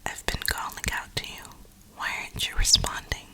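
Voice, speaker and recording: A woman whispering a reflective, rambling ASMR monologue in a breathy, unhurried way, with drawn-out pauses. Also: pushed and bright. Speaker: ASMR video creator.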